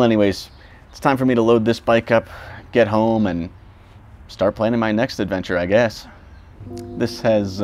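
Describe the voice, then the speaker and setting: A young man talking casually about his motorcycle trip, relaxed and rambling with fillers and self-corrections. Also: lowish voice. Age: young adult. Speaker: motorcycle vlogger. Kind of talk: casual reflective vlog talk.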